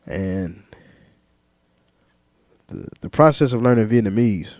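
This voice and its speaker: Low, mellow man speaking slowly and deliberately. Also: animated, no room sound, clean studio sound. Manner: slow, deliberate, with repeated words and fillers; lists ideas in measured single words. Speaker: vlogger. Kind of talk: reflective monologue to camera.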